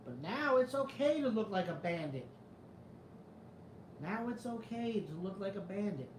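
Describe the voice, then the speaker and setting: A man's voice: high voice, big pitch swings, unhurried, echoey room, background noise. A man with a New York Italian-American accent hosting a casual live chat, rambling, skeptical and swearing freely. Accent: New York Italian-American, comes and goes. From New York area. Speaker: show host reading live chat.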